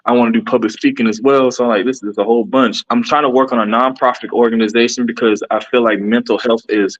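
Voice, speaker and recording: A man's voice: mid-range voice, steady tone, fast, highs cut off. A man, a podcaster and musician, talking earnestly in a casual interview with frequent restarts. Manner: earnest, rambling, many restarts.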